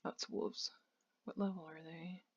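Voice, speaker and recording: Woman, slightly low voice, casual game commentary, thinking aloud, muffled lo-fi home recording. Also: fairly even intonation, normal speed, slightly rough. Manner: rambling, thinking aloud while playing. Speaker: video game streamer. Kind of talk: casual let's play commentary.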